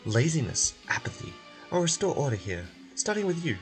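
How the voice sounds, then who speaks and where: A man's voice: fairly high pitch, big pitch swings, a little fast, over music. A man voicing a severe, domineering enforcer who demands order in a video game. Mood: stern. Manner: commanding, severe.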